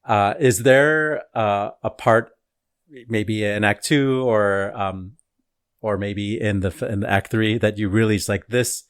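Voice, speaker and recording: A man's voice: on the low side, steady tone, unhurried, clean tone, no room sound, clean studio sound. A man hosting an interview, asking long, rambling, enthusiastic questions in a casual chat. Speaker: podcast host.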